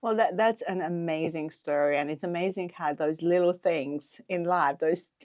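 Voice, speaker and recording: A woman from Hungary hosts a podcast interview, eager and engaged as she asks her questions. Her voice sits on the low side for a woman. The recording is dry and close. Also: moderate pace, no room sound. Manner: warm, enthusiastic, laughs often. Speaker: podcast host. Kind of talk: interview host.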